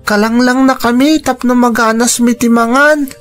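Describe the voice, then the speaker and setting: Radio drama role: polite, protective Filipino boy with a fairly high voice, played by a woman. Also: fairly even intonation, moderate pace, faint music behind, close to an everyday voice. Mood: eager. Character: young boy Carl, polite protective older brother. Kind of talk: Ilocano radio drama acting.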